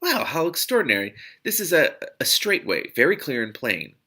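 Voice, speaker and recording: Man reading as a gentle, solemn woman ward-maker. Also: on the high side, sing-song, moderate pace, breathy edge, voice pushed up, exaggerated voice. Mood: awed, explaining. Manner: careful, solemn, instructive. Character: kindly, earnest woman mystic who crafts magic wards. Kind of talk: storybook character reading.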